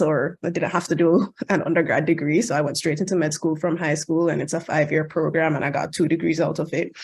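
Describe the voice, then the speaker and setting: A woman's voice: low-pitched, slightly rough. A young adult woman with a Jamaican accent, speaking on a video call as a panelist in an upbeat, proud way. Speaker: panelist, newly matched radiology applicant.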